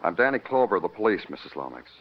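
An American actor playing a wry, hard-boiled police detective in old radio noir style, deadpan and terse. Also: male voice, deep voice, very fast, slight background noise. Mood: calm, polite. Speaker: detective and narrator. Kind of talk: 1950s radio noir acting. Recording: muffled old radio recording with music.

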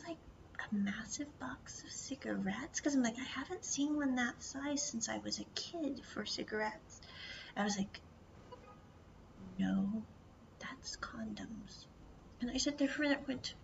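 A woman from the United States telling a rambling travel story, mostly in a hushed whisper, chatty and full of "I was like". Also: a little high. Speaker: vlogger. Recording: dull lo-fi audio with clear background noise.

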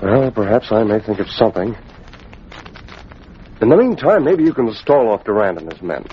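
Principled, cautious gentleman, formal and animated, vintage radio serial, dull recording. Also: lowish voice, normal speed, slight background noise. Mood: serious, cautious. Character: earnest, principled gentleman of means, cautious and law-abiding. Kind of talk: 1940s radio acting.

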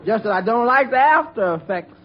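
An actor playing a wry, sardonic bar waiter in a 1940s radio comedy. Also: male voice, very high voice, expressive, fairly quick. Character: dry, sardonic bar waiter needling his boss. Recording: muffled noisy old radio, studio audience.

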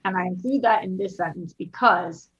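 Loud, passionate woman with a dull sound. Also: lowish voice, normal speed, husky. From Boston. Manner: loud, passionate, swears freely. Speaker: co-host. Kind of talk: casual chat.

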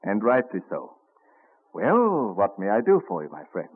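An actor playing a genial, philosophical colonial inventor and printer in a courtly, earnest radio drama manner. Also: male voice, fairly low pitch, wildly swooping pitch, moderate pace, slightly rough, heavily acted. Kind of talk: old-time radio drama acting. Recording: muffled narrow-band vintage radio recording.

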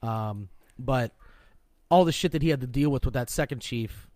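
A man's voice: mid-range voice, normal speed, a little mellow, dry recording. A man co-hosting a podcast in a laid-back, rambling way, swearing freely as he chats. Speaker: podcast co-host. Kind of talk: casual profane chat.

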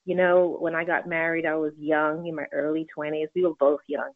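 A woman's voice: deep voice, little pitch movement, a little fast. A middle-aged woman from England with a mostly American accent and faint British traces, answering interview questions. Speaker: podcast guest, realtor. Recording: tinny narrowband phone line.

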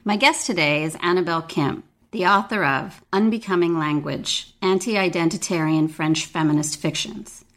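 Low-pitched woman podcast host. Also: fairly bright tone. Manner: polite, measured, scripted introductions. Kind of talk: interview podcast hosting.

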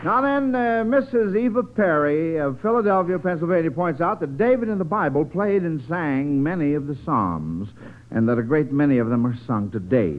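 Male radio quiz host, high lively voice, encouraging, folksy, muffled old broadcast. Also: moderate pace, slight background noise. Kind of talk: 1940s radio quiz hosting with children.

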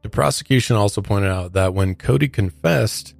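Deep-voiced male podcast host. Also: moderate pace, gravelly, no echo, studio quality, faint music behind. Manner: casual, explains clips to co-host. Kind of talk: true crime podcast narration.

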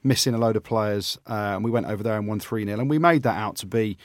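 A man's voice: fairly low pitch, fast talker, dry recording, clean studio sound. A man from England co-hosting a podcast, giving blunt, opinionated takes. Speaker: podcast co-host. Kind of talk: casual sports chat.

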